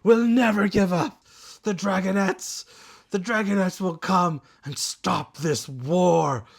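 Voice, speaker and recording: A man's voice: high voice, takes it slowly, gravelly, voice pushed up, exaggerated voice. A man voicing a wounded, defiant male ice dragon prisoner in a storybook, strained and impassioned. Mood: anguished outrage. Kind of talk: dramatic storybook character reading.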